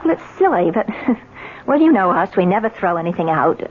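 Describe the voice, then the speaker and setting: An actress playing a proper, wounded wife in a radio drama, brittle, controlled and polite, with pointed pauses. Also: female voice, on the low side, big pitch swings, a little hiss or hum. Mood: flustered, apologetic. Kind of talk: 1970s radio drama acting. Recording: dull lo-fi 1970s radio broadcast.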